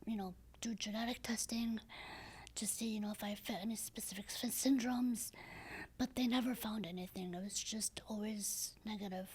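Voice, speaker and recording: A woman in her thirties with a low, raspy, breathy voice, speaking softly and hesitantly as a podcast guest, with many fillers and restarts. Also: steady tone, normal speed. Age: 30s. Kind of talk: casual interview talk.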